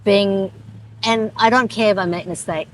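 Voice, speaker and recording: A woman's voice: mid-range voice, fairly even intonation, normal speed, slight background noise, low-quality recording. Woman fitness coach, eager and agreeable, casual interview in a small studio. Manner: warm, eager, agreeable, with many restarts and frequent yeahs.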